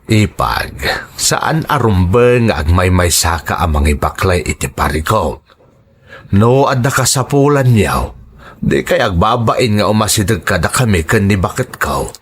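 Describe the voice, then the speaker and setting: A man plays a supportive Ilocano uncle in a radio drama. His voice sits low, with expressive swings in intonation. He counsels his family in a measured, reassuring way. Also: slightly rough, exaggerated voice.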